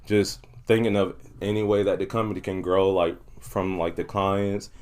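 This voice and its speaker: A man's voice: sits low, level tone, normal speed, a little mellow. A man talking casually as a podcast guest about his own work, talkative and often saying "you know". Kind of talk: casual conversational interview.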